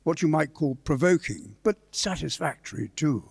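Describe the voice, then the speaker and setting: A man's voice: fairly high pitch, lively intonation, moderate pace, creaky, husky. A man narrating a radio play in a scripted storytelling style. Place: live on stage in a church.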